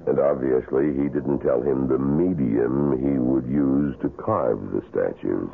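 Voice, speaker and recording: An actor playing a grave, knowing, ominous man with a gravelly voice in a 1970s radio drama. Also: a bass voice, a little slow, full character voice. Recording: muffled, phone-like old radio recording.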